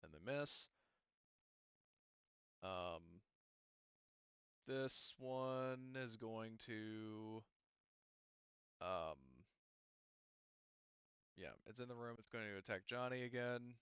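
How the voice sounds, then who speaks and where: A man acting as game master in an online roleplaying session, thinking aloud and haltingly working through rules and dice. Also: on the low side, a bit bright, clean tone, no echo, lo-fi sound.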